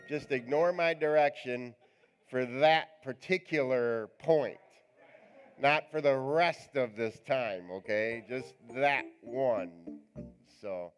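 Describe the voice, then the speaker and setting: A male pastor with a raspy voice, preaching a live church sermon in a chatty, joking, rambling way. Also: mid-range voice, slow, soft background music. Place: church sanctuary.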